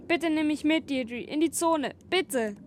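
Timid, high-voiced child René. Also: female voice, measured pace. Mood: pleading. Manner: hesitant, pleading. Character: timid, pleading poor child factory worker, René. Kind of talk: radio play acting. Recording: outdoor noise, vehicles behind.

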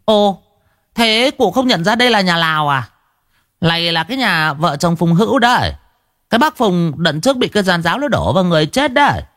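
A man's voice: sits high, very animated, fairly quick, a bit bright, no room sound, studio quality, voice pushed up. A man voicing a nosy village woman who gossips eagerly.

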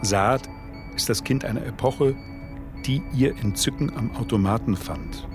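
A man reading a scripted literary essay as narrator, in a measured, formal manner. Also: mid-range voice. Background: music.

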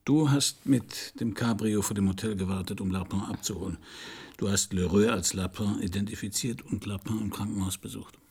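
Gravelly, world-weary noir private detective. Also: male voice, lowish voice, a little mellow. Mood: somber, quiet. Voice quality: gravelly, husky. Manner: subdued, melancholy, deliberate. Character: world-weary, broke, pipe-smoking male private detective. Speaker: narrating protagonist. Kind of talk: intimate noir radio drama narration and dialogue.

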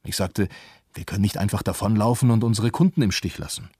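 A man voicing a worried family father who recounts his memories in a sober, measured way, as scripted radio documentary narration. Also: lowish voice, dark tone, husky, dry recording, clean studio sound. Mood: sober, troubled. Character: worried family father in Tokyo recalling the disaster.

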